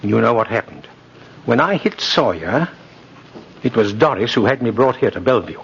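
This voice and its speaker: An actor playing a kindly, spirited old Santa Claus in a 1950s radio drama, speaking in a genteel, emphatic, theatrical way. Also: male voice, mid-range voice, animated, normal speed, lightly acted. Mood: earnest, disillusioned. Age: older. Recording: muffled old radio broadcast, faint noise.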